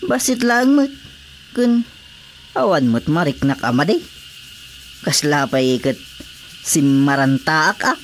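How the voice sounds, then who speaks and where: A woman's voice: low voice, lively intonation, slow pace, long pauses. A woman with an Ilocano accent playing a stubborn, defensive, ailing drinker in a radio drama. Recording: faint background noise.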